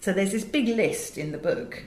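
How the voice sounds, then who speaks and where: A woman's voice: medium pitch, moderate pace, a little mellow. A British woman being interviewed, telling anecdotes and explaining her work in an articulate, emphatic way. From England. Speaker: interview guest, audio director and producer. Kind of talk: animated conversational storytelling in an interview. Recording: roomy, echoing interview sound.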